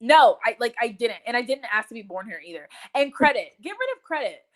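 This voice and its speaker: A woman from Texas chatting casually in an animated, opinionated, emphatic way, swearing freely. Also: on the high side, a little fast. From Texas, United States. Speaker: live stream host. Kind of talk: casual hangout chat.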